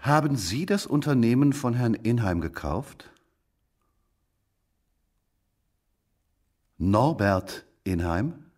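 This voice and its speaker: An actor playing a brisk, persistent police detective in a German radio drama, with a businesslike, probing manner. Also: male voice, medium pitch, animated, a little mellow. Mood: insistent.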